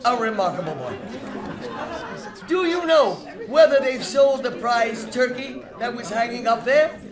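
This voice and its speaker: A woman's voice: on the high side, lively intonation, unhurried, crowd noise. A woman playing a joyful, generous reformed old male miser, theatrically and exuberantly, in a live radio drama. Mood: giddy delight. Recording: live stage, music and audience behind.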